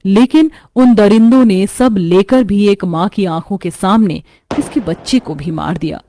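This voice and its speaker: A woman's voice: mid-range voice, a little slow, warm tone, dry recording. A woman narrating a scripted horror story as its storyteller. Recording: music under the voice.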